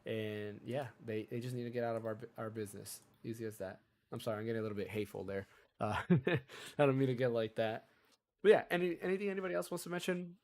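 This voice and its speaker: A man's voice: mid-range voice, fast talker, a little mellow. Chatty man with a cold, rambling. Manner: chatty, rambling, self-interrupting. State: hoarse from a cold. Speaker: podcast host. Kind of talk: casual gaming podcast chat.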